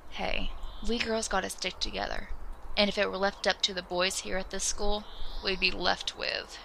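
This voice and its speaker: A woman's voice: medium pitch, flat delivery, normal speed, vocal fry, pushed and bright, slight background noise, low-quality recording. A woman acting a confident, teasing, supportive teenage schoolgirl in an amateur audio drama, wry and reassuring.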